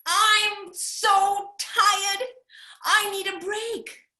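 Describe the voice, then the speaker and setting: A woman's voice: very high voice, fairly even intonation, very slow, sharp tone, reverberant, pitched above the performer's own voice, exaggerated voice. A woman voicing a hardworking male tree kangaroo who calls out, tired and worn out. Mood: exhausted. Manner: tired, sighing, calling out.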